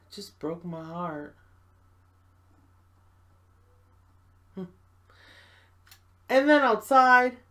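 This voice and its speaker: A woman's voice: a little high, sharp tone, heavily acted. A woman performing a stage monologue as a hurt, bitter woman confiding about a former lover, with dramatic delivery. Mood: wistful.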